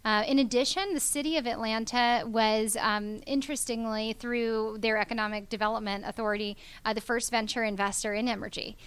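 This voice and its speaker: A woman's voice: a little high, steady tone, fairly quick, hardly any pauses, fairly bright tone, clean tone. A young woman from Georgia in the US, a company founder speaking earnestly as an interview guest, with many ums and you knows. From Georgia, USA. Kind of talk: business interview.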